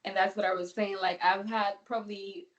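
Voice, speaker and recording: A woman's voice: medium pitch, little pitch movement, fairly quick, lo-fi sound. A woman from the Bahamas giving a casual, chatty testimony as a guest, with plenty of fillers. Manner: chatty, lots of fillers like "you know".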